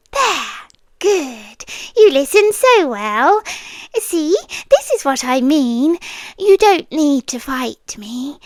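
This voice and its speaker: A woman's voice: high voice, darkish tone, dry recording, heavily acted. A British woman playing a possessive, sweetly menacing landlady girlfriend in an intimate ASMR roleplay, coaxing and controlling, often dropping into whispers.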